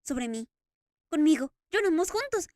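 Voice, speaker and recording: A woman's voice: sits high, animated, moderate pace, a little mellow, no room sound, studio quality. A woman voicing an anguished masked man who talks in impassioned, stammering outbursts between sobs, read as a storybook character. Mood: weeping joy. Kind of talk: audiobook character reading.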